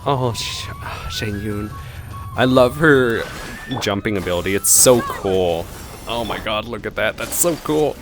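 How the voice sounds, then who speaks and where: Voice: medium pitch, lively intonation, unhurried. A game reaction streamer giving casual, chatty commentary with laughing asides. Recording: game music playing behind.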